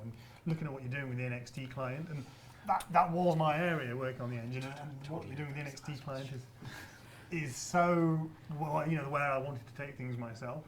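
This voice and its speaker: A man speaking quickly in casual, unscripted conversation as a programmer guest on a panel. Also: mid-range voice, background noise. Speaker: former game engine programmer, panel guest.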